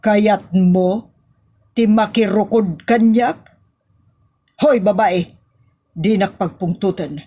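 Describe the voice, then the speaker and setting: A woman's voice: medium pitch, takes it slowly, pauses often, mellow, breathy edge. A woman with a Filipino accent playing a spiteful, haughty office superior, scornful and confrontational in a radio-style drama. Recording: faint noise and clicks.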